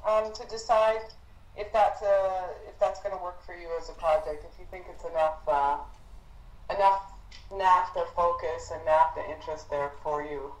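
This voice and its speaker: A woman speaking as a professor leading an informal team discussion on a conference call, in a hesitant, thoughtful, halting manner. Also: fairly low pitch, roomy sound, low-quality recording.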